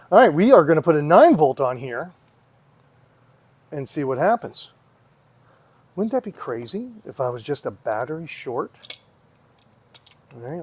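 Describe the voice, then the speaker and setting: A man with a somewhat high-pitched voice talks through a hands-on repair. He speaks casually, as if to himself, thinking aloud and putting questions to himself as he works. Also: a little mellow. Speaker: vlogger, hobby repair host.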